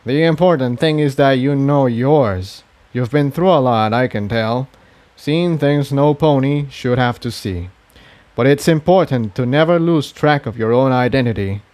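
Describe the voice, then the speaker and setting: A man voicing a calm, kindly old male pony priest in a storybook reading. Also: mid-range voice, a little slow, lightly acted. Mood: kindly, grave.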